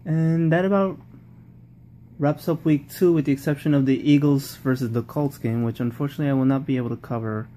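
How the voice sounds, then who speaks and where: A man's voice: mid-range voice, fairly even intonation, fairly quick, dark tone, a little hiss or hum. A man giving casual, rambling post-game football commentary, often repeating himself and restarting sentences. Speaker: football vlogger.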